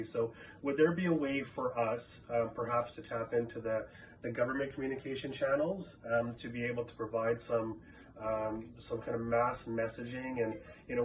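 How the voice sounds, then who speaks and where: A man speaking as a community representative, putting questions politely and in a roundabout way on a conference call. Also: medium pitch. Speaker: caller, faith community representative. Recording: distant, noisy conference call audio.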